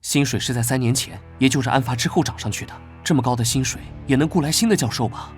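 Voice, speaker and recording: An actor playing a guarded, prickly young knight vice-captain who speaks curtly and earnestly, in an animated drama dub. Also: male voice, mid-range voice, fast, natural voice. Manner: curt, wary and earnest. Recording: background music under dialogue.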